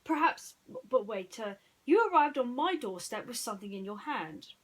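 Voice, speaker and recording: Woman acting a male mouse detective, storybook, impatient, excitable, emphatic, raised animated pitch. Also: normal speed. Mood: eager, cocksure. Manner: emphatic, interrupting, declaiming.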